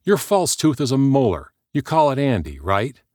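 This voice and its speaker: A man's voice: medium pitch, sing-song, moderate pace, darkish tone, no room sound, studio quality, voice pushed up. A man voicing a persistent, pushy man who keeps calling. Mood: insistent. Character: persistent, intense man, obsessive caller.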